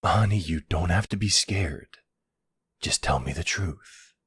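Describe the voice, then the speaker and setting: A man's voice: low-pitched, lively intonation, unhurried, fairly bright tone, slightly rough, dry recording, clean studio sound, full character voice. A man acting as a worried, insistent boyfriend in a roleplay audio drama, speaking in an earnest, pleading, exasperated way. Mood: concerned, disbelieving.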